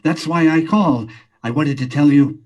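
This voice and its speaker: A man's voice: a little high, moderate pace, a little mellow, recorded from a distance, dull audio, faint music behind, natural voice. A man playing an agitated scientist in an old-time radio drama, speaking with urgent insistence. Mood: alarmed. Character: agitated male scientist phoning in alarm.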